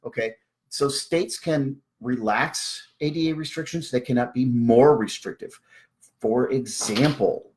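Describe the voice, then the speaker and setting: Wry, emphatic woman explaining things casually. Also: a bass voice. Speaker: speaker on a live Q&A about service dogs.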